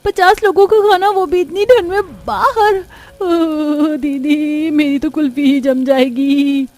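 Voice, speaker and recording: A woman's voice: high-pitched, fairly even intonation, takes it slowly, a little mellow. A woman voicing an anxious young daughter-in-law in a Hindi cartoon family drama, with plaintive, dramatic complaining. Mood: shocked, whining.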